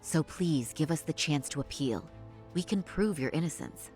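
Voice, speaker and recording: Composed, polite lawyer. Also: female voice, low-pitched, lively intonation, a little mellow, soft background music. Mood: earnest. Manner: polite, measured formal delivery. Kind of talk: game voice acting, dub.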